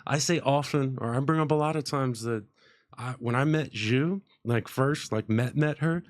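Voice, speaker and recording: A young man from the United States hosts a podcast from a radio station studio, talking into a studio mic. He rambles in an easygoing, upbeat way and leans on fillers as he goes. His voice sits at an ordinary middle pitch for a man. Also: normal speed. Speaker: podcast host. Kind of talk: casual chat. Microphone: studio microphone with headphones.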